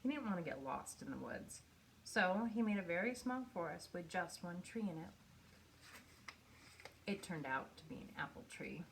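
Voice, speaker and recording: A woman's voice: on the low side, expressive, normal speed, vocal fry. Woman reading a children's story, noisy recording. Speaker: storybook reader. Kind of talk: read-aloud children's picture book narration.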